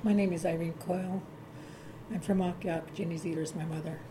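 A woman in her fifties from Alaska introduces herself with short, cheerful answers. Her voice is a touch low, with clear noise in the room behind her. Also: fairly even intonation, fairly quick. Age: 50s. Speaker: interviewee. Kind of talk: group oral history interview. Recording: noisy room recording.

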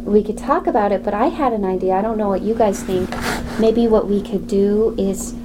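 A woman planning a lesson with her group, hesitant and filling pauses with ums, often asking what others think. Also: medium pitch. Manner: tentative, full of ums, asks others' views. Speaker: language learner. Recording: clear background noise.

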